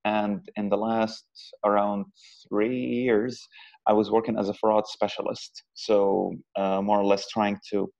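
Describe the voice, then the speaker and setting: A man's voice: fairly low pitch, level tone, a little slow, creaky, a little mellow. A young man from Syria giving a hesitant technical guest lecture over a video call, with many fillers and restarts. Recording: dull lo-fi remote audio.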